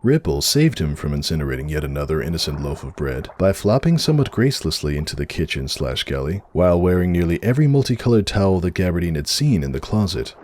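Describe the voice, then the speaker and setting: Low-pitched man narrating with lively swings. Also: quick pace, few pauses. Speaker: narrator of a fan fiction reading. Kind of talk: dramatic scripted storybook narration.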